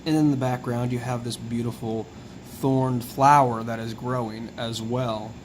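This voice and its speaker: A hoarse man hosting a video, explaining in a relaxed, musing way as he walks through details one by one. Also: medium pitch, a little slow, a little hiss or hum, rough recording. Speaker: tarot video host. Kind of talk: casual explanatory talk.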